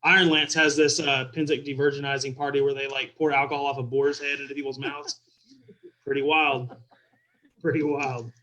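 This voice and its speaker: A man's voice: mid-range voice, level tone, normal speed, pushed and bright, poor audio. A man with a Texas and Oklahoma Southern accent, chatting playfully and exaggerating as an interview guest. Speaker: visiting king, interview guest. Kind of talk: casual joking chat. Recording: video call.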